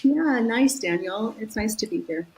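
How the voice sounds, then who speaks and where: A woman's voice: on the high side, lively intonation, measured pace, low-quality recording. A woman from San Diego with a Californian accent, a guest executive talking at length in a business podcast interview, upbeat and enthusiastic. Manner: upbeat, talks in long enthusiastic runs.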